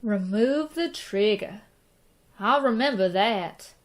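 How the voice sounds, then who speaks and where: A woman's voice: mid-range voice, lively intonation, slow. A woman playing an eager, folksy young frontier boy who idolizes his hero in a western radio drama. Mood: eager admiration.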